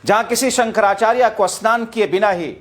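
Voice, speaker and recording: A man's voice: sits high, moderate pace. A man presents political news in a dramatic, emphatic, rhetorical style, addressing his viewers directly. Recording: music bed behind the voice. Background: background music.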